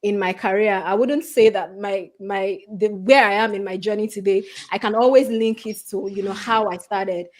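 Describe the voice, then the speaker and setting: A woman's voice: mid-range voice, steady tone, moderate pace, few pauses, darkish tone. Nigerian woman, earnest, "you know" fillers. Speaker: guest speaker. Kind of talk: conversational panel talk.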